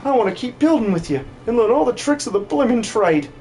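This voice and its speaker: A man acts out a young male farmhand from a video game, eager and easily carried away. The voice is high and swings widely in pitch, folksy and timid, with faint music behind. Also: fairly quick, exaggerated voice. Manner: folksy, excitable.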